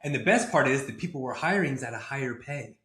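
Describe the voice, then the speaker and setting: A man's voice: mid-range voice, animated, fast talker, bright, forceful tone. A man hosting an interview in a casual, conversational way. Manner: informal, conversational. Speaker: podcast host. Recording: roomy, echoing sound.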